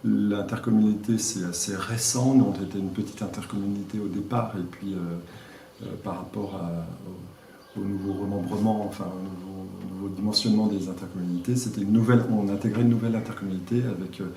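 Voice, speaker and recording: A man with a fairly low voice gives informal interview answers as a local mayor. He speaks hesitantly, often restarting as he looks for the right word. The recording is very roomy, picked up from a distance, with faint noise. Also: steady tone, mellow. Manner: hesitant, halting, searching for words. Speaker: village mayor being interviewed. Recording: echoey room, distant mic, faint noise.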